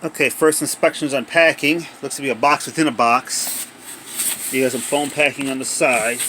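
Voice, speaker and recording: Bright-voiced man narrating an unboxing. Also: medium pitch, fairly even intonation, normal speed, a little hiss or hum. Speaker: amateur unboxing video maker. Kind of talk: casual off-the-cuff unboxing narration. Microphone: handheld cell phone.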